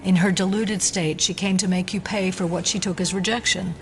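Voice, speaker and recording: An actress playing a rational, fiercely protective federal agent in an audio drama, speaking in a firm, insistent, articulate way. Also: female voice, on the low side, steady tone, a little fast, soft background music, close to an everyday voice. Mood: concerned. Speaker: FBI agent.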